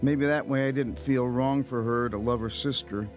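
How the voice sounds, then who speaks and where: A man's voice: mid-range voice, steady tone, moderate pace, soft background music, natural voice. An actor playing a reflective, wry man who narrates his own past in a radio drama. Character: reflective man recounting his lonely childhood. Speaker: first-person narrator.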